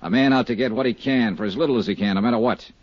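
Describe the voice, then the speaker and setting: An actor playing a tough, persistent insurance investigator in a 1950s radio drama, with clipped, probing delivery. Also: male voice, medium pitch, rapid-fire, dry recording, close to an everyday voice. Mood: insistent. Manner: clipped, probing, hard-boiled questioning. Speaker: lead actor in a radio detective serial. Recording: muffled 1950s radio recording.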